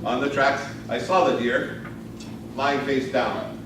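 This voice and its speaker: A man's voice: mid-range voice, steady tone. Echoey, distant man telling a theatrical tall tale. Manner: dramatic, deliberate delivery with pauses. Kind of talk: theatrical live storytelling speech. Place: roomy indoor speech contest venue. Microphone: distant camera microphone. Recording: distant, echoey, noisy live room recording. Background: noisy room ambience, audience laughter.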